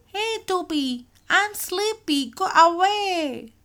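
A lazy, grumbling storybook tuna fish, acted by a woman, very high and slow. She whines and drags out her words in complaint. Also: lively intonation, sharp tone, full character voice. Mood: sleepy. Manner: drawn-out, whiny complaining.

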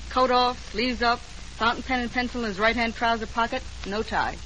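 A helpful, chatty receptionist played by a woman from a vintage radio mystery. Her voice is fairly high and her talk is informal. There is faint noise on the old recording. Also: fairly even intonation, moderate pace, fairly bright tone, natural voice. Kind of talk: 1940s radio drama acting. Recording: slightly noisy late-1940s radio recording.

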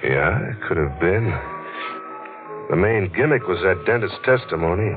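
A world-weary crime newspaperman in a 1940s radio noir. His voice is very low, with a terse, hard-boiled weariness. It comes through a muffled vintage recording with music behind. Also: lively intonation, normal speed. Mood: gruffly reluctant.

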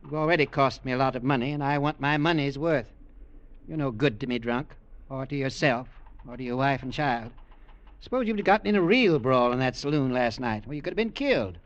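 An actor playing a cold, taunting, middle-aged businessman uncle in an old radio drama, with a sneering, deliberate delivery. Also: medium pitch, expressive, natural voice. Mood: cold, stern. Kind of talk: 1940s-50s radio drama acting. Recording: dull vintage radio recording.

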